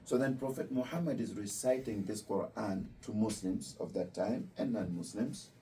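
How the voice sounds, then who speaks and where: A low-pitched man with a very dark, mellow voice explains his faith to visiting students. He is patient and repeats key points slowly. He sits some way from the mic, with faint room noise behind him. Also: distant mic. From Kenya. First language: Luo. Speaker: imam.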